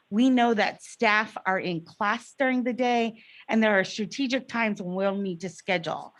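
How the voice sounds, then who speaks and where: A woman school administrator giving a careful, procedural report at a board meeting, with a loud voice. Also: medium pitch.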